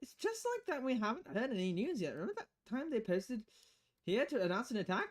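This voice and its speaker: A woman's voice: on the high side, very animated, brisk. A woman streaming a visual novel, reading the text aloud expressively and often stumbling and correcting herself. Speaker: video game streamer.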